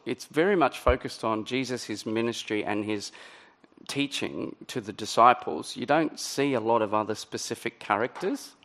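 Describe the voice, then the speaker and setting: A middle-aged man preaching a sermon in a conversational way, his voice a little croaky. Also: medium pitch, fairly bright tone. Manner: conversational, many ums and restarts. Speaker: pastor.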